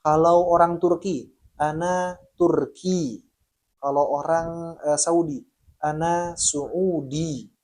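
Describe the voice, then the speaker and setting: A man with a voice pitched a little above the usual range teaches a beginners' lesson. He is friendly and patient and talks to viewers as friends. He slows down sharply to pronounce each example phrase clearly. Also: steady tone, darkish tone. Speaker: language teacher.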